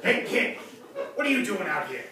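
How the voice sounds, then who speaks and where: A man playing a gruff but lovable, protective streetwise tough guy in a live comic radio play. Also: high voice, big pitch swings, moderate pace, crowd noise, heavily acted. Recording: echoey, noisy live venue, distant mic.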